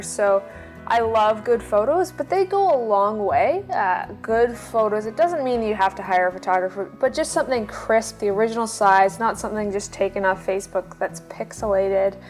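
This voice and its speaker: A woman talks as a guest on a podcast, giving informal explanations and advice. Her pitch is average for a woman, with soft music in the background. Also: moderate pace.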